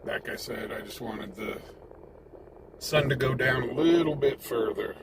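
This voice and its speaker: A man's voice: medium pitch, measured pace. Folksy American man chatting over vehicle noise. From United States. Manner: folksy, chatty, greeting viewers by name. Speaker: live-stream host, independent reporter. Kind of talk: casual live-stream chat. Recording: clear background noise, vehicle sounds. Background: vehicle and ambient noise.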